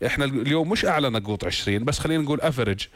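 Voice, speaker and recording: Fast-talking Jordanian man hosting radio. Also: medium pitch, expressive, fairly bright tone. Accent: Jordanian Arabic. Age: 30s. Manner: engaged, echoes and affirms what callers say. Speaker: radio call-in show host. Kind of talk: casual phone-in discussion. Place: radio studio.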